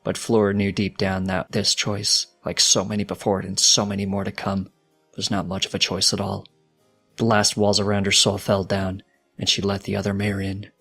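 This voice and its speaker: A man reading fiction aloud as a dramatic, solemn narrator with a deliberate delivery. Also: on the low side, completely flat delivery, creaky, a bit bright, soft background music. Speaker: fanfiction narrator.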